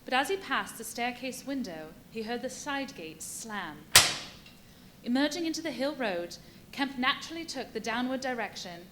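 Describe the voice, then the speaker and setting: A woman narrating a suspense tale in a live radio play with measured, formal literary delivery. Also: on the high side, expressive, lightly acted. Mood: measured, dramatic. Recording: live stage, faint noise.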